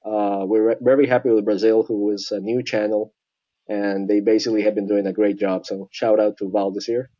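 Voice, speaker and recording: A man hosting an online tech show, introducing the guest in a friendly, halting way with many fillers. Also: on the low side, level tone, dark tone, lo-fi sound. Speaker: host.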